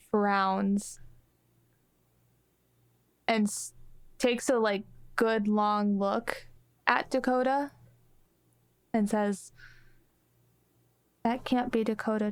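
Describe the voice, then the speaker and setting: Female roleplayer, higher-pitched and very measured, hesitant improvised delivery. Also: level tone, no echo. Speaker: tabletop game player.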